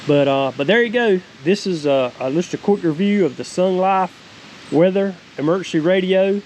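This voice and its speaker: A man's voice: high-pitched, normal speed, darkish tone, rough recording. A middle-aged man with a Southern American accent giving a casual, folksy, plain-spoken product review. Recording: faint hiss and outdoor noise.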